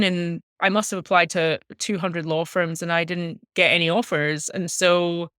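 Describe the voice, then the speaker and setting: A Scottish woman in her thirties telling her own story as an interview guest, articulate, measured and earnest. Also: on the low side, a bit bright, smooth, no room sound, clean studio sound. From Glasgow, Scotland. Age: 30s. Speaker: interview guest, music journalist. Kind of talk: reflective personal storytelling in an interview.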